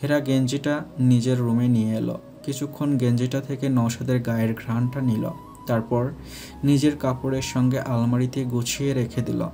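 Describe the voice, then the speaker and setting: Guy narrating a love story, soft dark voice, pretty even tone, light music behind. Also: mid-range voice, normal speed. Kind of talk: scripted romantic story narration.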